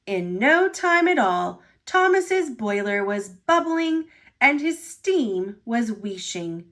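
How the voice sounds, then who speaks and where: A woman reads a children's storybook aloud in an expressive, dramatic style, phrasing deliberately for young listeners. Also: on the high side. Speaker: storybook reader.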